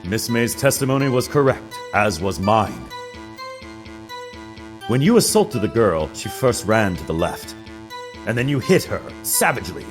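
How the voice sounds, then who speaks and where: A man's voice: on the low side, very animated, unhurried, pauses often. A man playing a vain, smug male witness in a video game courtroom drama, speaking in a pompous, flowery way. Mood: smug, dramatic. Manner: pompous, flowery, self-satisfied. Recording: clear music behind the voice.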